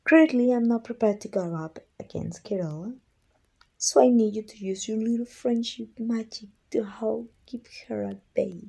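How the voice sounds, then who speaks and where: A woman playing a proud, weary evil queen and sorceress in an ASMR roleplay, with a husky, breathy voice and an imperious, deliberate delivery. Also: fairly high pitch, warm tone, rough recording. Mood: exasperated, commanding. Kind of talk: scripted ASMR roleplay acting.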